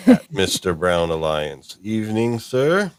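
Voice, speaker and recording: A man's voice: lowish voice, big pitch swings, measured pace, clear, resonant tone. A man hosting a casual discussion, chatting in a conversational way. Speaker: podcast host. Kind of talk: casual chat.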